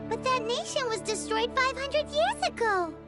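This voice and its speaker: A woman voicing a small, childlike fairy guide in a video game, chatty, curious and excitable, with a squeaky, expressive delivery over game music. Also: moderate pace. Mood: surprised, explaining. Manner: refers to self in third person, expressive. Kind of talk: video game character dialogue.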